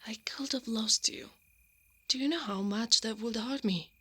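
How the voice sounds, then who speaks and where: Woman acting a slow, whispery female knight. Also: medium pitch. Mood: tender, vulnerable. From Italy. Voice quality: soft, often breathy and whispery. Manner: slow, intimate, hushed delivery. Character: brave, strong, protective female knight, tenderly teasing. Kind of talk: ASMR roleplay, intimate scripted acting.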